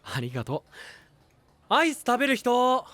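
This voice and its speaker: An actor playing a laid-back, friendly young knight in an anime voice drama, with a soft, casual manner. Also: male voice, extremely high pitch, sing-song, normal speed, heavy background noise. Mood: easygoing.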